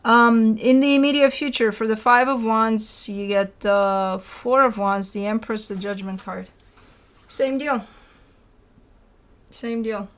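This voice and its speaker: A woman's voice: a little high, slow pace, fairly bright tone. A woman hosting a tarot card reading, talking in an informal, rambling and emphatic way, repeating phrases and putting rhetorical questions to the listener. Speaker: tarot reader hosting her own show.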